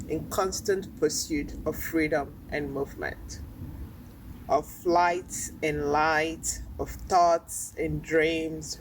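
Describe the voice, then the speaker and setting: A woman reading spoken-word poetry in a deliberate, list-like cadence. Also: low voice. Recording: distant mic, faint background noise.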